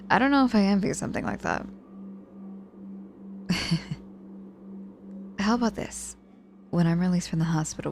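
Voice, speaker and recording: A woman's voice: fairly low pitch, creaky, a little mellow, slight background noise. A woman playing a sardonic but caring young witch in an intimate ASMR audio roleplay, speaking casually and teasingly with feigned reluctance. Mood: reluctant, teasing.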